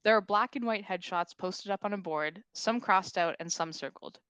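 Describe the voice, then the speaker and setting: A woman's voice: mid-range voice, normal speed, a bit bright, clean tone, no room sound, dull audio. A woman reading stage directions at a comedy table read, in an informal, playful way.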